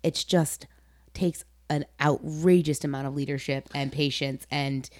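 A woman's voice: low-pitched, steady tone, unhurried, a little mellow, no room sound, studio quality. A woman chatting casually and candidly as an interview host, with an earnest, informal way of talking. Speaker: podcast host and comedy performer.

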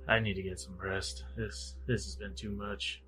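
A man's voice: on the low side, little pitch movement, takes it slowly, bright, forceful tone, low-quality recording. A man playing a tabletop role-playing game, speaking for his character and casually narrating its actions. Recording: music playing under the voice. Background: background music.

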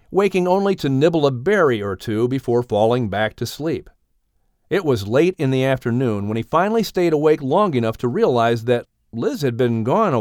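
Male narrator for children's audiobook, expressive storyteller, lively melodic range, close dry sound. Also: mid-range voice, dry recording.

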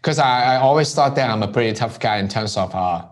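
A man's voice: medium pitch, a little fast. A man who grew up in Hong Kong, a guest in an interview, telling his story casually and often checking in with "right?". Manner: relaxed storytelling with frequent "right?" tags. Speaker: entrepreneur guest. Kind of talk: conversational interview.

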